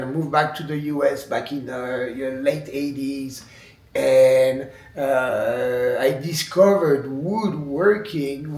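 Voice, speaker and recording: A man's voice: mid-range voice, darkish tone. A man speaking English with a French accent, his first language being French, talking as a wood sculptor in a documentary interview, hesitant with many fillers and restarts. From France. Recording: echoey room, distant mic.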